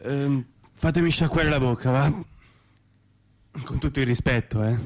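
A man's voice: mid-range voice, measured pace, natural voice. An actor playing a cheeky, blunt provincial man with a Tuscan accent, chatting and interjecting in a radio comedy. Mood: mock-polite. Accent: Tuscan Italian. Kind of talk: theatrical radio comedy dialogue.

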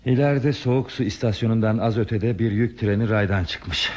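An actor playing an earnest, anxious railway stationman in a radio play, with a husky voice. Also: on the low side, brisk, darkish tone, natural voice. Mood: flustered, alarmed. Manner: earnest, repeats words when flustered. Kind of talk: Turkish radio theatre acting. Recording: muffled old radio drama recording.

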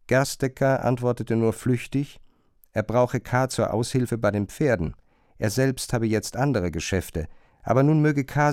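A male narrator reads literary prose for a radio play. His voice sits at a medium pitch, recorded very dry without any room sound. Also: darkish tone, clear, resonant tone, no room sound.

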